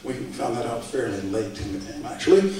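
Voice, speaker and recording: A man's voice: mid-range voice, sing-song, brisk, warm tone. A man speaking at a public debate lecture in a conversational way, with dry asides, in a lecture hall. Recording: echoey hall, voice from far off.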